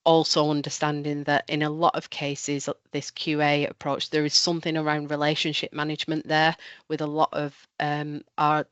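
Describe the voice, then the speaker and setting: A woman presenting for a local council at an online event, talking in a measured way with ums and restarts, a little nasal from a cold. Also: deep voice, fairly bright tone, clear, resonant tone, no echo. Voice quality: slightly nasal. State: has a cold. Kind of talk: webinar presentation and Q&A. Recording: dull lo-fi online call audio.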